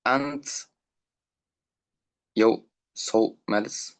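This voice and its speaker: A teenage boy from Turkey, a non-native English speaker, presenting a school talk over an online call, halting and filler-heavy. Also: fairly low pitch. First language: Turkish. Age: teens. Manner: halting, reads points with fillers. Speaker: student speaker. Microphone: remote call microphone.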